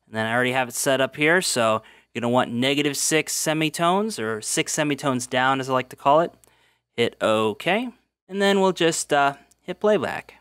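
Casting: male tutorial host, mid-pitched, informal step-by-step narration, close dry mic. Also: lively intonation, moderate pace, a bit bright, clear, resonant tone, no echo. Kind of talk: casual instructional voice-over.

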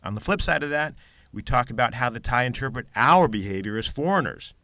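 A man hosting a podcast, reading a measured, scripted show introduction into a close mic. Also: mid-range voice, lively intonation, sharp tone, no room sound. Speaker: podcast host.

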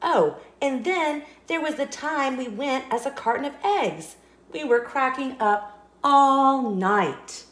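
A woman reading a children's storybook aloud with expressive narration, recorded with roomy echo. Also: high-pitched, slow.

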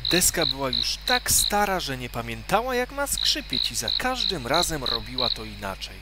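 Male narrator, children's story, expressive, lively pitch, very noisy homemade recording. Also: normal speed.

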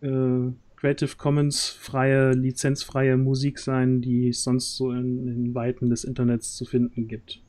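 A man in his thirties hosts a casual chat stream from home. His voice sits at an average pitch with a dark, mellow tone, picked up close on a microphone. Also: completely flat delivery, a little slow, hardly any pauses. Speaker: host of a role-play audio drama project stream. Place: small room at home, on a group video call. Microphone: close boom-arm studio mic, headset.

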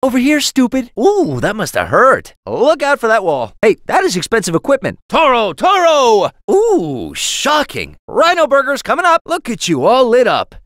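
An actor playing a wisecracking, earnest young superhero in a video game, with a boyish, jokey and exclamatory delivery. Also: male voice, very high-pitched, huge pitch swings, moderate pace, hardly any pauses, a bit bright, no room sound, clean studio sound, heavily acted. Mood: taunting, playful. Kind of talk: animated video game voice acting, quips and tutorial hints.

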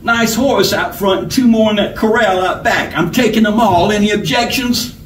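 Man doing a swaggering highway robber, echoey and distant. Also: normal speed, lightly acted. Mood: gloating, threatening. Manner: rough, folksy, bullying drawl. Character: swaggering, menacing male highway robber with blunderbuss. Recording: roomy, distant, noisy home recording.

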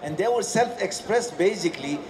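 A man's voice: high voice, animated, brisk, noisy background. A middle-aged man on a panel explaining his view with some restarts, into a handheld mic. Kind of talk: panel discussion answer. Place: stage of a large indoor hall.

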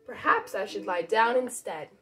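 A woman voicing a curious little girl in a storybook reading, with expressive lines. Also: mid-range voice, moderate pace, soft background music, natural voice. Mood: fussy.

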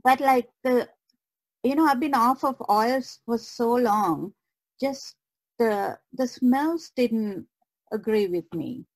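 A woman guest artist in casual conversation, with halting, hesitant phrasing. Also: a little high, low-quality recording.

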